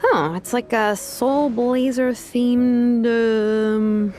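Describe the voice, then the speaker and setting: A woman's voice: on the high side, steady tone, drawn-out words. A woman voicing a dry, level-headed young craftswoman in a video game, straight-faced and offhand, with music behind her. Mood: casual, explaining.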